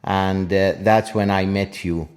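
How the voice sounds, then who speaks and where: Low-voiced man hosting a podcast. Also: fairly even intonation, a little mellow. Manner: polite, halting questions with restarts. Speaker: podcast host. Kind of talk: interview questions.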